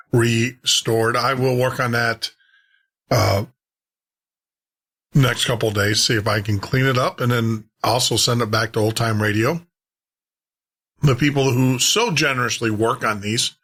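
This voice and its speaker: A man's voice: medium pitch, sharp tone. A man hosting a show, chatting to listeners in an informal, rambling way. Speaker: podcast host.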